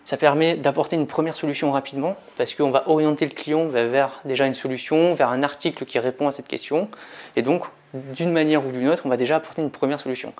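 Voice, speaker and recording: A man presents a lesson as a teacher would, at an average pitch. He explains things step by step in an informal, conversational register. He puts clear emphasis on the key points. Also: fairly even intonation, fast, a little mellow. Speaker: teacher, presenter of business-course revision videos.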